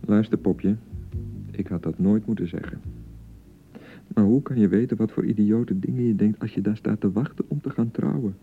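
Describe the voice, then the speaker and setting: A man acting an excitable young newlywed husband in a radio drama, by turns blustering and awkwardly pleading. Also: on the low side, moderate pace, husky, phone-quality sound, soft background music. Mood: defensive, placating. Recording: thin, band-limited 1990 radio broadcast.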